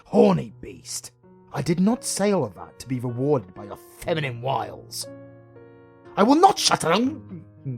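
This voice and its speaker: A man's voice: fairly high pitch, huge pitch swings, normal speed, gravelly, a little mellow, faint music behind, heavily acted. A British man playing a brooding, sarcastic but secretly caring supervillain in a romantic comedy audio roleplay, with dramatic, teasing delivery. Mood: flustered, playful. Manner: dramatic, teasing, sweary, swinging from murmurs to outbursts.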